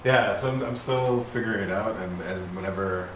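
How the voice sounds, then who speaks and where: Low-voiced hesitant man from Puerto Rico. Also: moderate pace, roomy sound, recorded from a distance, noisy background. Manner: hesitant, lots of likes. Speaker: artist.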